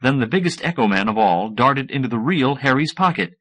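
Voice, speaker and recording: A man's voice: medium pitch, animated, a little fast, a bit bright. A man reads scripted fiction as an audiobook narrator, shifting into character voices. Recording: 1980s audiobook cassette recording.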